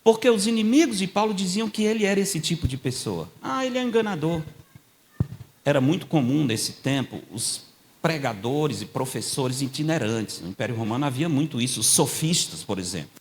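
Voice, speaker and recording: An older man preaching a sermon in a church. He tells stories in a conversational way, checks in with the congregation, and grows louder and higher when he quotes scripture. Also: very animated, a little fast, slight background noise. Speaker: guest preacher. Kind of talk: sermon with storytelling.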